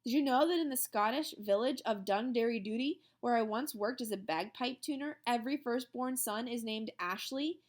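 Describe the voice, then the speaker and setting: A woman voicing a stern but kind male schoolteacher who speaks in a firm, measured way. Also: fairly high pitch, voice pushed up, natural voice. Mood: lecturing.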